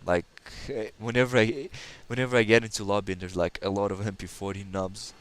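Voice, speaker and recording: A man's voice: lowish voice, level tone, a little fast. A man from Kazakhstan, a native Russian speaker with a slight Russian accent, giving rambling, hesitant gameplay commentary full of fillers. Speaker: gaming channel host.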